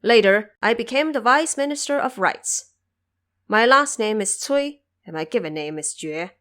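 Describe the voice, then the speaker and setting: A woman's voice: on the high side, animated, moderate pace, fairly bright tone. A courtly dead official, acted out by a woman in an audio drama. He serves as a judge of the underworld and addresses his superior with humble, formal deference. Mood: formal, respectful.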